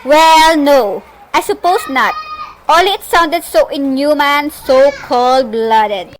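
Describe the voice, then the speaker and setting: A high-pitched, fretful wife confined to her bed, acted by a woman in an amateur radio drama. She is fearful yet demanding, pressing her point and stumbling over repeated words. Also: animated, slow, a little hiss or hum, poor audio. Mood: shaken, protesting. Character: anxious, demanding bedridden wife, fearful and insistent. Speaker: student actor in a group project. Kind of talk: amateur radio play acting.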